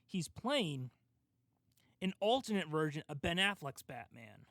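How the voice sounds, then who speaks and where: Higher-pitched man, explanatory podcast host. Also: big pitch swings, unhurried, a bit bright, no echo, studio quality. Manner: explanatory, emphatic, talks through an argument step by step. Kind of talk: casual pop culture discussion.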